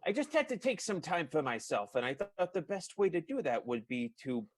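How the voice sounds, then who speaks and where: A man's voice: a little high, very animated, fairly quick. A man playing an awkward, evasive fellow in an improvised radio soap, nervous and stammering. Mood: awkward, pointed.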